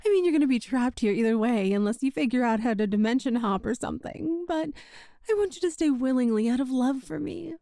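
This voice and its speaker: A woman's voice: high-pitched, brisk, warm tone, dry recording, studio quality. A woman playing a sweetly possessive, clingy yandere witch in an ASMR roleplay, with a cutesy, coy, breezily sinister manner. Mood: pleading, possessive. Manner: cutesy, coy, breezily matter-of-fact about sinister things. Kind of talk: ASMR roleplay acting addressed to the listener.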